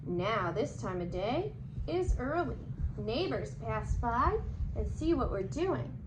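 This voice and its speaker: A woman reading a children's picture book aloud as a storyteller, expressive and animated. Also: a little high, takes it slowly. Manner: animated, acting out the dialogue. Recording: echoey room with clear background noise.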